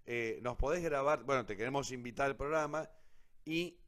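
An Argentine man hosts a cultural radio programme in a casual, unscripted way. His voice sits at an ordinary middle pitch for a man. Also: moderate pace, a bit bright.

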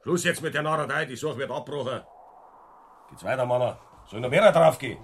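Casting: actor as dismissive Bavarian mountain man, mid-pitched, bluntly commanding, radio play with faint ambient noise. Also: brisk, natural voice. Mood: blunt, irritated. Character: gruff, impatient mountain villager leading a search. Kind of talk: radio drama acting.

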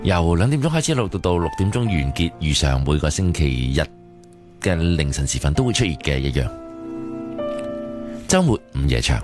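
A man's voice: sits low, very animated, moderate pace, pauses often. A man with a Hong Kong Cantonese accent presenting late-night radio continuity and weather. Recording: studio voice over music. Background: music.

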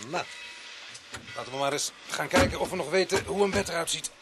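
An actor playing a dour, weary and dryly humane police sergeant in a radio drama. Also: male voice, a little high, fairly quick, heavy background noise, lightly acted.

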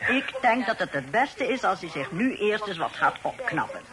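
An actress playing a caring, gently firm mother of a grown son in a Dutch radio play, with a soothing motherly manner. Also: medium pitch, big pitch swings, normal speed, crowd noise, natural voice. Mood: caring, pleased. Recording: noisy old radio drama recording.

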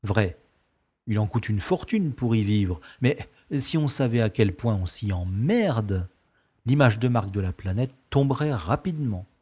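A man's voice: lowish voice, animated, measured pace, a little mellow, slightly rough. A man acting a bored, cynical special agent in a science-fiction audio drama, with sardonic, world-weary complaining. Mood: bored, grumbling.

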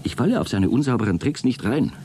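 An actor playing an aggrieved, suspicious space traveller dictating a pompous, wordy log in a radio drama. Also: male voice, medium pitch, sing-song, fast talker, background noise, lightly acted. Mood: defiant, indignant. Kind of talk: radio drama monologue, intimate log entry.

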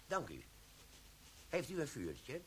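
An actor playing a sly, glib army quartermaster sergeant in a radio play, talking with cheeky, wheedling banter. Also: male voice, medium pitch, wildly swooping pitch, slow pace, background noise.

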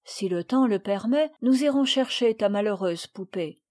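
A woman voicing a gentle but moralizing mother who gently reproves her daughter in a storybook reading. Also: mid-range voice, expressive, normal speed, lightly acted. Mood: tender. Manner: firm, reproving, then tender. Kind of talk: audiobook reading.

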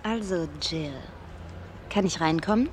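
A woman's voice: on the low side, unhurried, darkish tone, a little hiss or hum, close to an everyday voice. An actress playing a teasing, bold young woman in a radio drama, coaxing and playful.

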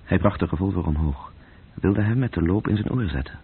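A man narrating a literary radio drama in terse, measured phrases, with a gravelly, breathy voice. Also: low-pitched, animated. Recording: muffled, tinny old radio-play recording.

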